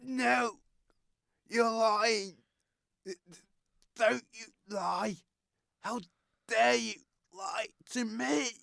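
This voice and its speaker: Radio drama role: scarred, troubled male loner, heavily creaking voice, slow stammering delivery. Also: very high voice, fairly bright tone, no echo, clean studio sound, full character voice. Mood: distraught, angry. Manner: halting, stammering. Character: troubled, scarred man who talks to his dead twin.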